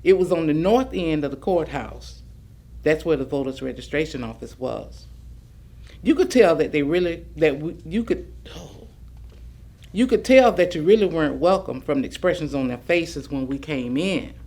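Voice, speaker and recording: Lady acting plucky older woman doing a stage monologue, folksy n emphatic, really animated pitch. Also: normal speed. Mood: earnest recollection. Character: plucky, persuasive older woman voter-registration volunteer. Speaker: stage actress performing oral history. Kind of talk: dramatic monologue, staged reading.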